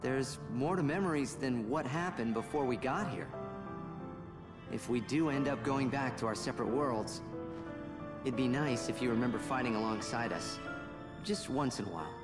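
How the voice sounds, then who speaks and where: A man's voice: medium pitch, moderate pace, close to an everyday voice. An actor playing a young male fighter and friendly comrade with a casual, teasing manner in a video game cutscene. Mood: friendly, sincere. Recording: loud background music.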